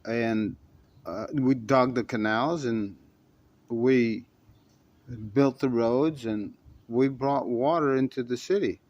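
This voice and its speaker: A man's voice: mid-range voice, slow, darkish tone, no room sound, lo-fi sound. A man in his shop telling a story in the first person, in a folksy, meandering way full of restarts and self-corrections.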